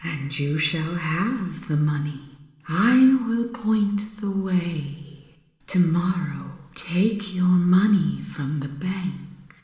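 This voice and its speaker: A ghostly mother speaks extremely slowly from a wash of echo. Her voice is low and syrupy, breathing out each phrase like a theatrical séance spirit in a comic radio play. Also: a little mellow. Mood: eerie, coaxing. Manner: slow, drawn-out, breathy séance delivery. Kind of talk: comic audio drama in old radio manner. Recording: echoing, roomy séance sound.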